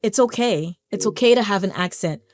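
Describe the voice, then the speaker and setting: A woman's voice: mid-range voice, fairly quick, no echo. Chatty American woman, encouraging. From United States. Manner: conversational, stumbles and repeats words, laughs now and then. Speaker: language vlogger. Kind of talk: casual encouraging talk to camera.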